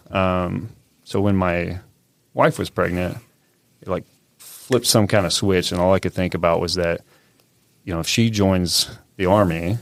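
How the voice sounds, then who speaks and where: Brief: man in his thirties, very low voice, relaxed and unscripted, dry close studio sound. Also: no room sound. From California. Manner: easygoing, loose, frequent "uh" and "yeah". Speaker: podcast guest, soldier. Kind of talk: casual interview talk.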